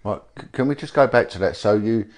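A middle-aged man from with a slight cold, interviewing a guest in a living room, speaking haltingly with many fillers. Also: on the low side. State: has a cold. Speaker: interviewer, former police detective. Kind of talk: sit-down interview. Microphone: lapel mic.

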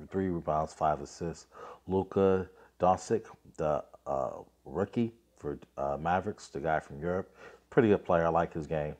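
A man's voice: low-pitched, darkish tone. A man in his thirties with a cold, hosting a casual basketball podcast, reading off scores and ranting in an offhand way on a phone mic. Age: 30s. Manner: offhand, lots of fillers, reads scores aloud. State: getting over a cold, coughing. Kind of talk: casual sports recap and rant. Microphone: phone, selfie framing.

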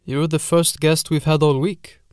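A man's voice: on the high side, flat delivery, measured pace, clear, resonant tone, dry recording, studio quality, close to an everyday voice. A man voicing a formal, curt old castle steward, a deferential servant in a gothic horror audiobook. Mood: courteous.

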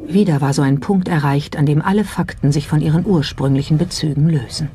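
A documentary narrator reading a script in a measured, suspenseful storytelling manner. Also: medium pitch, warm tone, slight background noise. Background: background music.

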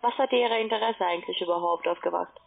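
A woman telemarketer giving an insistent, formal sales pitch by phone, with halting phrasing. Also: gravelly. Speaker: call centre telemarketer. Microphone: telephone call. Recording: narrow, tinny phone line.

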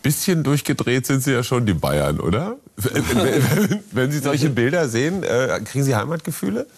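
A man's voice: mid-range voice, sing-song, normal speed, faint music behind. A man in his forties hosting an informal television interview in a studio, with a teasing, playful manner. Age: 40s. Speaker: talk-show host, interviewer.

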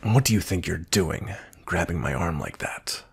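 Deep gravelly vampire knight, acted by a man. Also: animated. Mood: stern. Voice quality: husky, gravelly. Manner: stern, slow, measured. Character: grumpy, cold, commanding male vampire knight. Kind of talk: roleplay ASMR acting.

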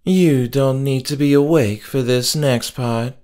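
A man's voice: mid-range voice, measured pace, warm tone, voice pushed up, lightly acted. A man voicing an alluring, dangerous cultist woman, teasing and coolly threatening, in a horror audiobook. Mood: cool menace.

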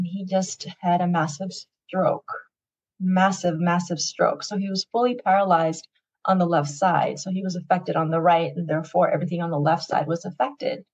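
A woman in her fifties, a retired nurse whose first language is Tagalog, tells her wellness story in an earnest, measured way on a video call. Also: on the low side, a little mellow. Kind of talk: podcast guest story. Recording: muffled lo-fi sound.

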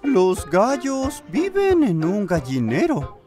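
A woman voicing a playful, friendly small boy steam engine in a children's cartoon dub, animated and childlike, with a Latin American accent. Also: medium pitch, slow pace, music in the background.

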